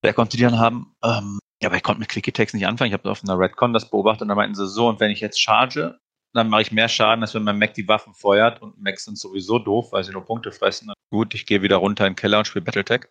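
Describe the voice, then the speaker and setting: A man as a podcast guest, relaxed and wry as he tells stories. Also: fairly low pitch, quick pace, no room sound. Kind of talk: casual chat.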